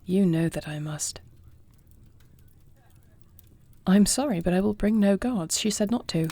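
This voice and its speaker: A woman's voice: medium pitch, lively intonation, normal speed, warm tone, dry recording. A woman playing a proud, dutiful young-married warrior princess who can shift into a dragon, speaking formally in a scripted audio roleplay. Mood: firm. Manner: formal, regal phrasing. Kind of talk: scripted audio roleplay acting, one side of a dialogue.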